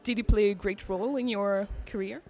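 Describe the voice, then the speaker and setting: A woman's voice: mid-range voice, fairly even intonation, a little fast, darkish tone. French-accented woman interviewer. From French-speaking. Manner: searching for words, many fillers. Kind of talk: interview questions.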